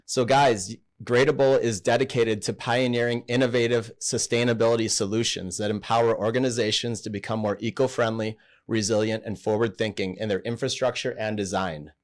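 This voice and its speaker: A man's voice: fairly low pitch, steady tone, fairly quick. A man from southern Wisconsin hosting a podcast interview in a casual, upbeat way, often chiming in with short agreement. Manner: upbeat, affirming with frequent "yeah" and "mm-hmm". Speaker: podcast host.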